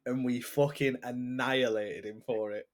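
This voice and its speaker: A man's voice: medium pitch, steady tone, normal speed. A man co-hosting a casual podcast, joking, swearing often and laughing a lot. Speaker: podcast co-host. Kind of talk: casual banter.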